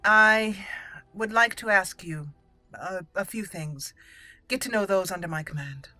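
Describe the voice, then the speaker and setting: A woman's voice: medium pitch, measured pace, pushed and bright, no room sound. Female let's play host, chatty, roleplay-minded commentary, game music underneath. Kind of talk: gameplay commentary with in-character roleplay lines.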